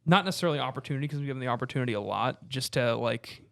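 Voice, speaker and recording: A man chatting casually as a podcast co-host, telling stories in an informal, halting way and acting out the dialogue. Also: mid-range voice, animated, vocal fry, dry recording, clean studio sound. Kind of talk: casual conversational storytelling.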